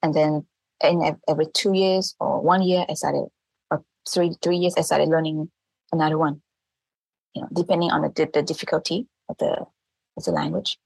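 Woman in her 30s, low gravelly voice, earnest, laughs a lot. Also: little pitch movement, brisk, a little mellow, dry recording, rough recording. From Thailand. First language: Thai. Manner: earnest, laughs often, restarts phrases. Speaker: guest, language teacher. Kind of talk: casual interview talk.

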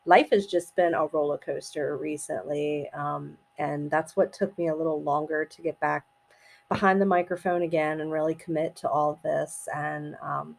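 Middle-aged woman, somewhat low voice, light rasp, casual personal podcasting, hesitant, thoughtful delivery. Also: steady tone. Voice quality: slightly raspy. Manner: hesitant, reflective, halting. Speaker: podcast host.

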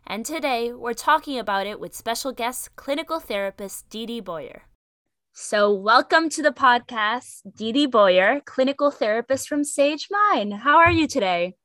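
A woman hosting a podcast, her voice a touch high and upbeat. She is encouraging toward her guest, and the sound is dry and close to the mic. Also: expressive, normal speed, fairly bright tone, dry recording. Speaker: podcast host.

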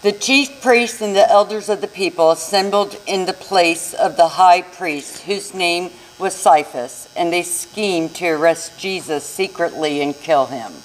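A woman with a slightly low voice narrates a scripted Bible story in church. She reads as one of the readers in a service. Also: unhurried, hardly any pauses, low-quality recording. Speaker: reader in a church Passion reading. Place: church, during a Sunday service.

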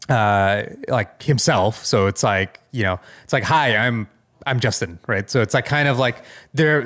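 A man's voice: mid-range voice, a bit bright. A man co-hosting a podcast in a casual, rambling tech chat, informal and full of loose asides. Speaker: podcast co-host.

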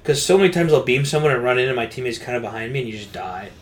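A man's voice: mid-range voice, lively intonation, rapid-fire. A man co-hosting a podcast, chatting casually about games in a rambling, slangy way. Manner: rambling, informal gamer slang. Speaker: podcast co-host. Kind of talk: casual gaming chat.

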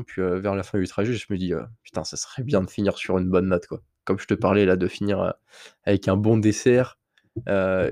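A man's voice: lowish voice, mellow, no echo, studio quality. A man with a slight cold, hosting his own podcast and telling a personal story in a candid, rambling way straight to the listener. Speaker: podcast host. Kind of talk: casual solo podcast monologue.

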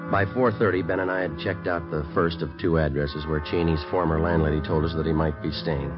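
A man's voice: extremely low pitch, fairly even intonation, fairly quick. An actor playing a male police detective who narrates the case in clipped, matter-of-fact sentences, in a 1950s radio drama. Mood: steady. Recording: muffled old radio broadcast with music.

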